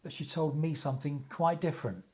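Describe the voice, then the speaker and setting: A man's voice: a little high, a little fast, darkish tone, lightly acted. Grave, earnest young man played by a man. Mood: troubled, reluctant. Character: Henry, earnest, troubled young man, family friend. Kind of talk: radio play acting.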